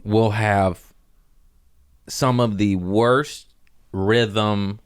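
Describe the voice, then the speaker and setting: A man with a deep American voice, riffing on a comedy podcast. He rambles in loose slang and jokes his way through each thought, casual and unpolished. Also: fairly even intonation, very slow, clear, resonant tone, no room sound, studio quality.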